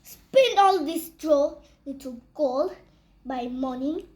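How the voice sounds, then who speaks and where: High, slow-spoken greedy storybook king, read by a childlike voice. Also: animated, a little mellow. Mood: threatening. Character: greedy, commanding, threatening fairy-tale king.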